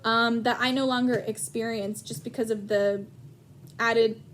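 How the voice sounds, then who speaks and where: A woman teacher speaks in a fairly high voice, calm and reflective. She answers interview questions and pauses often with soft ums. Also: slight background noise. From United States.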